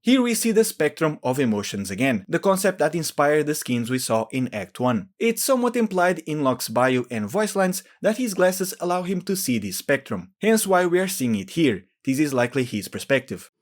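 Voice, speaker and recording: High-pitched, lively male video narrator. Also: moderate pace, few pauses. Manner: explanatory, speculating. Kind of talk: scripted lore commentary.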